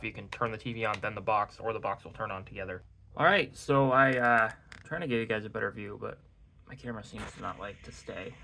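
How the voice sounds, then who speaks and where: A young man with a raspy, hoarse voice from a cold, reviewing a tech gadget in a casual, rambling way at home. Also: medium pitch, sharp tone, slight background noise. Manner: rambling, self-correcting.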